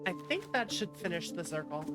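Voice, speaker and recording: A playful, chatty woman streaming a game, laughing often as she plays. Her voice sits a little low. Game music plays clearly in the background. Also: big pitch swings, fairly quick. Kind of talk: casual let's-play commentary.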